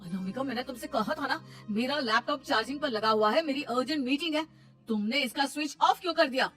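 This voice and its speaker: A woman's voice: high voice, a little fast, a bit bright, slightly rough, soft background music. A woman voicing a stern, exasperated young husband who complains, in a cartoon moral story. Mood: angry.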